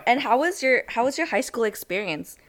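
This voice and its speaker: A woman's voice: fairly high pitch, expressive, brisk. A woman hosting a podcast, chatting casually and teasing her guest. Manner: teasing, friendly, laughs along. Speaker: podcast host, hairstylist. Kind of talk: casual chat.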